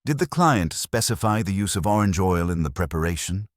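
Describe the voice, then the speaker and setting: Guy reading as a calm police inspector in a mystery story, super low voice, gently authoritative, dry questions. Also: a little fast, no echo. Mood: probing.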